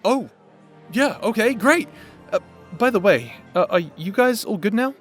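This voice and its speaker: A man's voice: sits high, lively intonation, measured pace, faint music behind. A man playing an earnest, nervous young adventurer in a fantasy audio roleplay, with a hesitant, eager-to-please manner. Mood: flustered.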